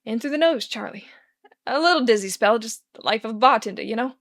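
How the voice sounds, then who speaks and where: A woman's voice: on the high side, expressive, fast talker. A woman doing a brash, chatty bartender guy. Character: wisecracking jittery male bartender.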